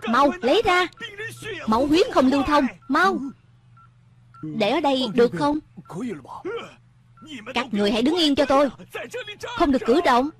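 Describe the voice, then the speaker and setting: Female voice-over dubber for an animated film, higher-pitched, reading every part over prominent music. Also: moderate pace, long pauses. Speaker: voice-over dub narrator. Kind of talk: single-voice dubbing of an animated film, reading all characters' lines. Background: film soundtrack music.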